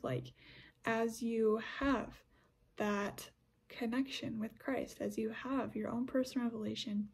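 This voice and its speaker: Breathy young woman chatting casually. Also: fairly high pitch, darkish tone. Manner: chatty, rambling with many restarts and fillers. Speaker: podcast host. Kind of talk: casual scripture discussion podcast.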